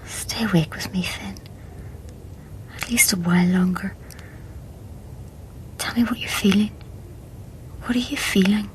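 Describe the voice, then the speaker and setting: An actress in a radio play voicing a loving, bereft woman with a hushed, breathy, tender delivery. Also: medium pitch, a little slow, long pauses, slight background noise, lightly acted, low-quality recording. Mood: tender, pleading. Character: grieving young woman, loving, quietly bereft. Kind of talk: radio play acting, intimate dialogue and narration.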